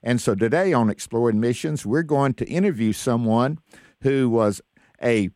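A man with a mid-pitched voice works as the host of an interview program. His delivery is that of a radio presenter, recorded very dry with no room sound. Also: moderate pace, darkish tone, no room sound. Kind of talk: radio interview show hosting.

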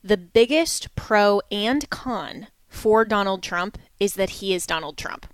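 A woman hosting a talk radio interview, talking in a measured, reasoned way with frequent restarts. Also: mid-range voice, expressive, clear, resonant tone, no echo. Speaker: host.

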